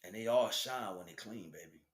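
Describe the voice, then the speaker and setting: A man's voice: lowish voice, moderate pace, echoey room. An American man giving an informal spiritual monologue in streetwise slang, speaking emphatically and with repetition. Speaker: spiritual teacher and stream host.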